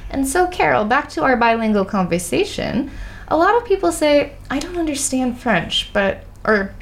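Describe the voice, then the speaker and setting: A woman's voice: high-pitched, moderate pace, creaky. A woman hosting a casual interview with an English Canadian accent, laughing often as she tells stories. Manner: laughs often, animated storytelling. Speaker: host.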